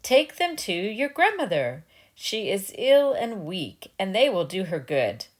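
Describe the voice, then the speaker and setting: Woman playing a storybook mother, lilting and animated. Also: medium pitch, unhurried. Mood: gently instructing. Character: kindly, instructing mother of a little girl.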